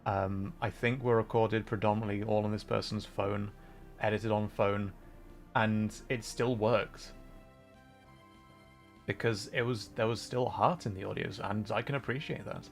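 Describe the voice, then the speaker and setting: A man hosting a livestream, chatting casually in a hesitant, thoughtful, rambling way with frequent pauses while he plays a game. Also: on the low side, steady tone, gravelly. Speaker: livestream host. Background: background music.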